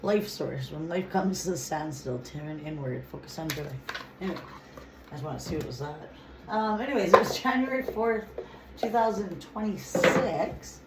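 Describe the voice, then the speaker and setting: A woman's voice: fairly low pitch, expressive, moderate pace. A woman with a stuffy nose from a cold, giving a chatty, rambling tarot reading and reading card messages aloud. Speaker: tarot reader. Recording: echoey room with clear noise.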